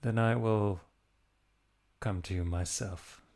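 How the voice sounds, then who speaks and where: Stern priest and monster hunter played by a man: low, very deliberate pace, defiant, tabletop horror game. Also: steady tone, darkish tone. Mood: guarded defiance.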